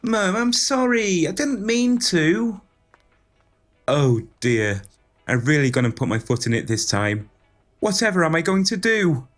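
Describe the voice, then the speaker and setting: An actor playing a naive, dim, excitable young male dreamer in a pantomime audio drama. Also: fairly high pitch, measured pace, faint music behind. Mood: dismayed.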